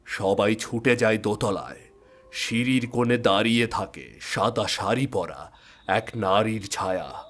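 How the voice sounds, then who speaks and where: A man narrating a scripted ghost story in a measured, dramatic storytelling manner. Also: lowish voice, fairly bright tone. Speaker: narrator and channel host.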